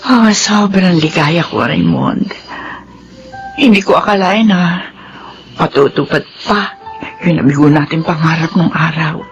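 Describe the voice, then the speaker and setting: An actress playing a blissful, grateful bride, tender and emotional, in a Filipino radio drama. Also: female voice, deep voice, animated, a little slow, long pauses, highs cut off. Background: music.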